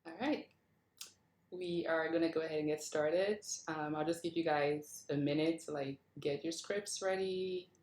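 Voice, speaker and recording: A woman's voice: low-pitched, steady tone, moderate pace, darkish tone. A woman hosting a table read and reading stage directions from a script. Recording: roomy, echoey sound.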